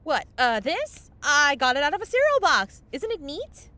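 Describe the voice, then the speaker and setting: A woman's voice: sits high, big pitch swings, normal speed, bright, forceful tone, full character voice. A woman playing a perky, scheming young woman who sweet-talks and dodges in a comedic fantasy audio drama. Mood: evasive, breezy.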